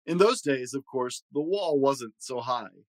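A man's voice: medium pitch, animated, measured pace, no echo. A man hosting a read-aloud, telling a rhyming children's story in a theatrical, sing-song way. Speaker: host reading a children's book aloud.

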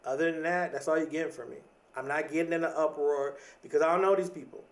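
A man's voice: a little high, steady tone, fast talker. Reflective man in his thirties, slightly distant. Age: 30s. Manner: thoughtful, repeats and restarts words, casual slang. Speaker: podcaster. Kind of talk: reflective casual monologue.

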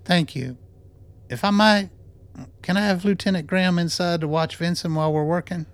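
A man plays a cautious, reflective aging scientist in a radio drama. His voice sits a little high for a man, and he speaks in measured, thoughtful phrases with gentle wryness. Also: clear, resonant tone. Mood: warm, polite. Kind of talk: radio play acting.

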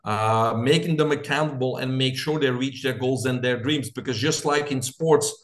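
Picture a middle-aged man with a Belgian accent, answering an interviewer with earnest conviction. He builds his points through lists, pushing each idea forward like a motivational coach. His voice sits at an ordinary middle pitch. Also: normal speed. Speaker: guest, coach and biohacker. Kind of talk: interview storytelling.

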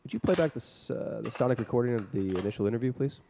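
An actor playing a composed, clinical psychiatrist with a measured, professional manner in a 1950s radio drama. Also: male voice, medium pitch, animated, creaky, dark tone, a little hiss or hum. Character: composed, clinical male psychiatrist dictating case report.

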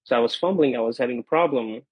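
Earnest young man, husky voice. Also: mid-range voice, fast talker, no echo, poor audio. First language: Gujarati. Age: 20s. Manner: earnest and grateful, with frequent "uh" and repeats. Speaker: podcast guest. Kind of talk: conversational interview answers.

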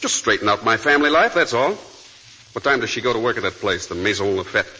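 Escaped murderer for vintage radio drama: husky, forceful, mockingly polite, animated pitch, bitter and threatening underneath. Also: male voice, fast talker, heavily acted, poor audio. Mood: quietly menacing. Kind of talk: 1940s radio drama acting. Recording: vintage 1940s radio broadcast.

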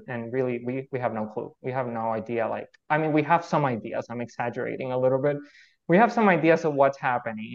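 Spanish-native man on muffled call audio. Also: medium pitch. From Puerto Rico. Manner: thoughtful, many fillers and restarts. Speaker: guest researcher. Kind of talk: casual explanatory interview.

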